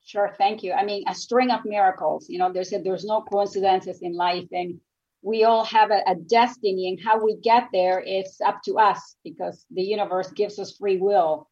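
A woman's voice: medium pitch, steady tone, moderate pace, darkish tone, lo-fi sound. A woman from Nicaragua, speaking English as a second language, telling her story as a guest on a radio talk show in an earnest, reflective way. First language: Spanish. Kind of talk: radio interview.

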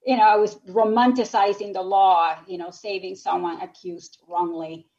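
A woman's voice: mid-range voice, steady tone, normal speed, darkish tone. A woman who grew up in Nicaragua talks through her path as a radio guest, earnest and reflective. English is her second language. Her voice sits mid-range and comes through a dull, lo-fi line. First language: Spanish. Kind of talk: radio interview.

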